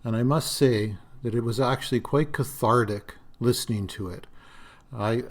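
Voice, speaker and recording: Raspy-voiced man in his sixties. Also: medium pitch, a little mellow. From Canada. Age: 60s. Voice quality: slightly raspy, dry voice. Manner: rambling, reflective, frequent uh fillers. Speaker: podcast host. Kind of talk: solo podcast monologue.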